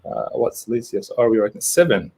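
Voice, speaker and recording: A man's voice: fairly low pitch, big pitch swings, moderate pace, darkish tone. Guy from egypt talking real estate on a video call, rambly, keeps restarting, eager. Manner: rambling, self-correcting, enthusiastic. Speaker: real estate agent, guest. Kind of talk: casual live interview.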